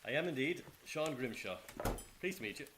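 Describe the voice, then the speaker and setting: An actor playing a polite, businesslike military bureau interviewer in a radio play, speaking formally with an Irish accent. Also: male voice, mid-range voice, animated, normal speed, heavy background noise, natural voice. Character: courteous, businesslike military bureau official taking testimony.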